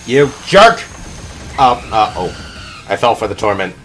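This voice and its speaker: Low-voiced man doing casual game commentary. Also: very animated, unhurried, a bit bright, soft background music. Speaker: gaming video host.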